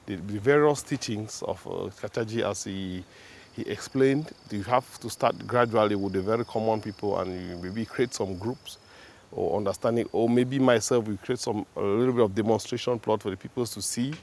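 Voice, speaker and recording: A man's voice: fairly low pitch, normal speed, a little mellow, slight background noise. A man from northwest Cameroon with a Cameroonian accent, speaking as a farming trainee in a documentary interview.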